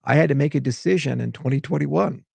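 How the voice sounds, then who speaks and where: A man's voice: medium pitch, fast talker, creaky, mellow, husky, no echo. A man from Hawaii delivering an exasperated, rambling unscripted monologue as a tech founder giving commentary.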